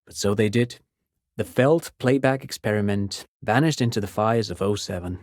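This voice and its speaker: A man's voice: on the low side, moderate pace, darkish tone, no echo, clean studio sound, lightly acted. A male actor playing a polite, enthusiastic, pedantic intellectual in a video game, explaining things in an erudite, courteous way. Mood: wistful, regretful. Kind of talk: video game dialogue acting.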